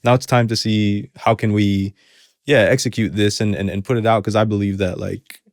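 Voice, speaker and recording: A man's voice: fairly low pitch, fairly even intonation, moderate pace, no room sound. A young man with a hybrid accent mixing Arabic, New Zealand and American sounds, talking casually and rambling in an interview with many restarts. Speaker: hip hop artist, guest.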